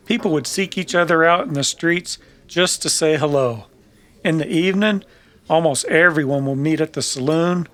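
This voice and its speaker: Man playing a slow-spoken, world-weary western gunslinger. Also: a little high, a bit bright. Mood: wistful. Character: curt, bitter, world-weary Old West gunslinger. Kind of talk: old-time radio western acting.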